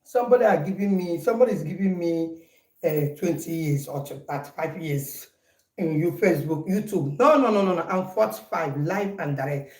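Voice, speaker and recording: A woman's voice: on the low side, normal speed, a little mellow, roomy sound, rough recording. A Nigerian woman in her forties, chatting casually in a home vlog and playfully repeating herself. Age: 40s. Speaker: vlogger. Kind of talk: casual birthday vlog to viewers.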